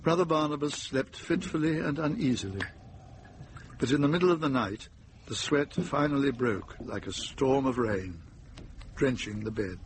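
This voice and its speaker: A man narrates a radio mystery in scripted prose. His voice sits at a middle pitch, and there is clear noise behind it. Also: moderate pace.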